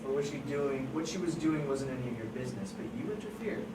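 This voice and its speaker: A performer at an amateur table read playing an agitated police officer who presses suspects with halting, repeated questions. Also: mid-range voice, close to an everyday voice. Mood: probing, stern. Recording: distant, echoey room, noisy.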